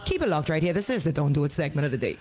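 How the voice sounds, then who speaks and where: A woman's voice: low voice, very animated, rapid-fire, mellow. A woman with a Bahamian accent hosting radio banter, indignant and emphatic, repeating herself for effect. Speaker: radio host. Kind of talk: casual on-air banter and commentary.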